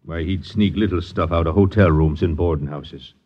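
An actor playing a folksy, chatty police sergeant in a 1950s radio drama. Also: male voice, low voice, moderate pace, breathy edge. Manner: chatty, questioning. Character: folksy, plain-spoken male police sergeant. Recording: dull vintage radio recording.

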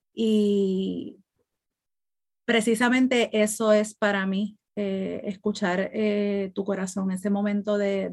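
Slow-speaking woman podcast host. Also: medium pitch. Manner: slow, hesitant, many fillers and restarts. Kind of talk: reflective podcast monologue.

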